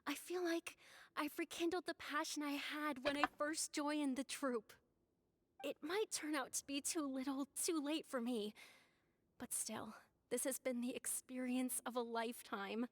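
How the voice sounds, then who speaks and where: A woman playing a grateful, regretful theatre troupe member in a video game, speaking softly and from the heart. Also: high voice, fairly even intonation, unhurried, no echo. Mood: grateful, bittersweet.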